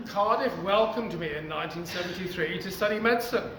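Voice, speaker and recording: A man in his sixties with a posh English accent, reading his poetry outdoors in an animated, storytelling way. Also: high-pitched, normal speed, reverberant, a crowd in the background, poor audio. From East Africa. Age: 60s. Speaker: poet. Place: outdoors outside a cafe.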